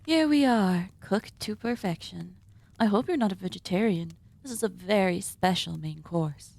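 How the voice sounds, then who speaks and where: A woman playing a polite, sinister female cannibal surgeon hosting dinner in an ASMR roleplay, speaking in formal, deliberate phrases. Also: mid-range voice, expressive, vocal fry, a little mellow. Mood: refined, hospitable. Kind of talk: ASMR roleplay, scripted monologue addressed to listener.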